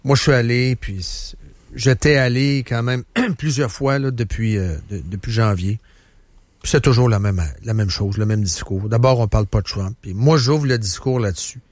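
A man's voice: mid-range voice, moderate pace, a little mellow, no room sound. Man, Quebec French accent, talk radio host, chatty storyteller, dry studio sound. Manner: rambling, storytelling, many fillers. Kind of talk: casual talk radio chat.

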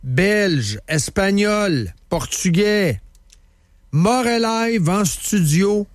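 A Quebec radio host with a slightly raised pitch, chatty and emphatic, who often repeats his points. The studio sound is dry and close. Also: expressive, very slow, no room sound. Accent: Quebec French. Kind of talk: casual radio talk.

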